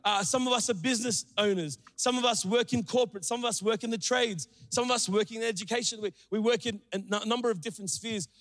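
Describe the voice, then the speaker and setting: High-voiced pastor preaching in a reverberant hall. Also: male voice, fast, hardly any pauses. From Sri Lanka. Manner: energetic, joking, calls out to the crowd. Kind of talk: sermon. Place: church auditorium.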